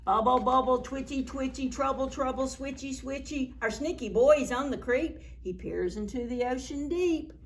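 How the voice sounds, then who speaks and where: A woman storyteller reading a picture book aloud to young children in a playful, dramatic, expressive way. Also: sits high, normal speed, hardly any pauses. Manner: animated, playful, dramatic reading with gasps and exclamations; addresses young children with simple questions.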